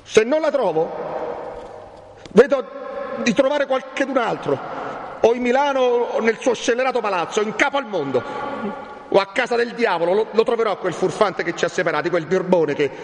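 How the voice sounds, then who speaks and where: A man voicing a desperate, impulsive young peasant in a dramatised novel reading, agitated and halting, repeating his words. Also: high voice, lively intonation, gravelly, voice pushed up. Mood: agitated, vengeful. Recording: echoey room with long reverb.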